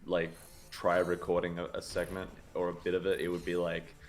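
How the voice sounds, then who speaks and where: A man's voice: sits low, monotone, fairly quick. A man explaining his own gameplay video in casual chat, rambling with lots of likes and frequent laughs. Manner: rambling, filler-heavy with many likes, laughs often. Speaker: guest, speedrun video creator.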